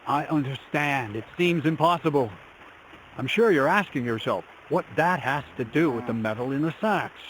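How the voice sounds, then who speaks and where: An actor playing an eccentric miller with grand, cagey talk about secret experiments. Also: male voice, medium pitch, animated, normal speed, fairly bright tone, a little hiss or hum, close to an everyday voice, low-quality recording. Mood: grandiose.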